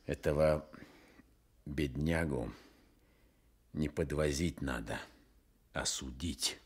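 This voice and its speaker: Deep-voiced, blunt village youth. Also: male voice, slow pace, husky, exaggerated voice. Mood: stern, sarcastic. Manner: terse, forthright. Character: blunt, stern young village man. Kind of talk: Soviet radio drama acting.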